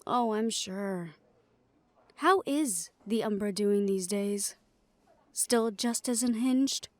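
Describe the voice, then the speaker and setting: A woman's voice: mid-range voice, slow pace, no room sound, natural voice. A woman playing a supportive, protective big-sisterly character, firm and dryly sardonic, in an audio roleplay drama. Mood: icy, sarcastic.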